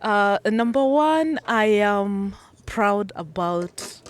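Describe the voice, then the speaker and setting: A woman's voice: mid-range voice, expressive, very slow. A woman from Kenya with a Kenyan accent, a business founder answering interview questions conversationally. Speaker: business founder and executive director, interview guest.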